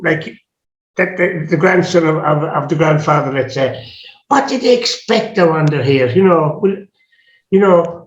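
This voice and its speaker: A man with an Irish accent hosting a casual interview, musing aloud and often restarting his words. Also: high-pitched. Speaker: host. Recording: echoey room, dull lo-fi sound.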